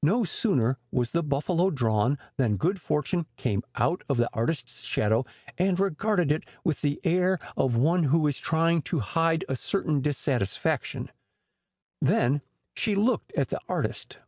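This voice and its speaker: A man narrates a storybook in a husky, slightly high voice. His delivery is expressive with lively pitch movement, in the manner of a scripted audiobook. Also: unhurried, no room sound, clean studio sound.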